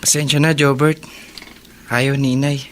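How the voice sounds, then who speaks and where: Man playing a hesitant, gentle Filipino older brother. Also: medium pitch, level tone, normal speed, a little hiss or hum, lightly acted. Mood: apologetic. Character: apologetic older brother visiting a jailed sibling. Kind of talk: Filipino radio drama acting.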